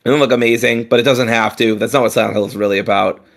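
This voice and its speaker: A man's voice: medium pitch, steady tone, fast talker, vocal fry, a bit bright. A man co-hosting a gaming podcast, chatting casually and rambling with frequent fillers, restarts and casual swearing. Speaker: podcast co-host. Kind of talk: casual chat.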